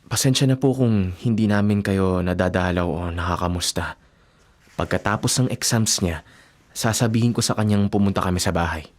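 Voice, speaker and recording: A man acting in a radio drama as a devoted, self-sacrificing young boyfriend and son, gentle and reassuring, with a Filipino accent. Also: low voice, moderate pace, lightly acted. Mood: apologetic, explaining. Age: young adult.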